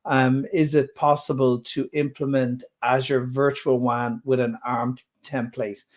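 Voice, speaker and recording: A man's voice: medium pitch, flat delivery, a little slow. Chatty man hosting a webinar. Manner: chatty, enthusiastic, stumbles on words. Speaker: user group host. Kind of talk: casual webinar Q&A moderating. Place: home office, indoors.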